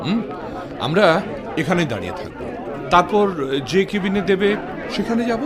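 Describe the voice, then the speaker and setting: A man's voice: high voice, wildly swooping pitch, normal speed, crowd noise. An actor playing a considerate, practical male relative who talks politely and reassuringly in a Bengali radio drama. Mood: practical, steady.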